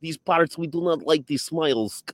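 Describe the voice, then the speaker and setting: A man's voice: a little high, moderate pace, voice pushed up, natural voice. A man putting on a comic Russian accent, playing a stern, joking Russian man. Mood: mock stern. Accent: mock Russian. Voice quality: exaggerated heavy accent.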